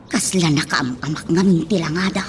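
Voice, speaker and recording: An actress playing a frank, worried household nanny in an Ilocano radio drama. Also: female voice, low-pitched, moderate pace, a little hiss or hum, natural voice. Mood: uneasy.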